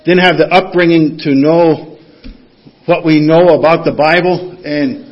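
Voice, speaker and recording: A man preaching a sermon, reading scripture aloud and putting questions to the congregation in an earnest teaching manner. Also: on the high side. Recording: dull lo-fi sound.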